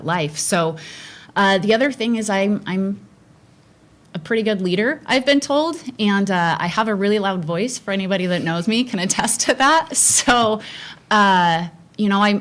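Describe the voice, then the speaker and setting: A middle-aged woman, a school board candidate, answering forum questions earnestly with frequent restarts. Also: fairly low pitch.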